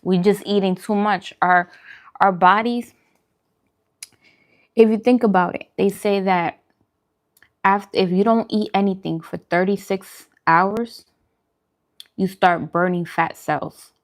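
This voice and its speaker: A woman's voice: mid-range voice, fairly even intonation, slow, pauses often. A woman vlogger chatting casually while eating, talking in an informal, musing, conversational way. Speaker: vegan food vlogger.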